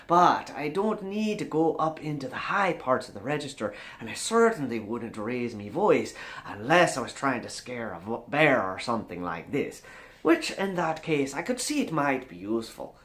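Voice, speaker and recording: A man voicing a gentle, quietly bold forest ranger in a soft, low register with an Irish accent, for a tabletop game. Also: sing-song, moderate pace. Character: gentle, quiet, daring young male forest ranger folk hero. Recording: echoey room.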